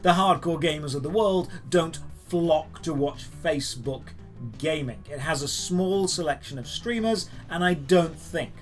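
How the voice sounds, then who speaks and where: A man's voice: on the high side, unhurried, faint music behind. A man hosting geek news commentary, speaking in an emphatic, opinionated and sarcastic manner. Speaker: host of a daily geek news show. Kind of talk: scripted news commentary to camera.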